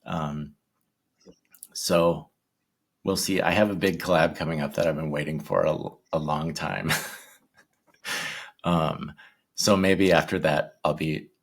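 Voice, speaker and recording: A man in his forties, a guest in a casual interview, talking in a shy, halting way with many ums and restarts. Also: very deep voice, steady tone. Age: 40s.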